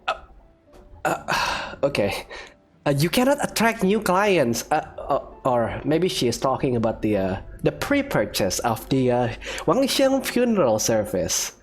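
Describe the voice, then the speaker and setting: A woman with a very low, lively voice gives casual let's-play commentary. The delivery is halting and bemused, often repeating phrases, with game music behind it.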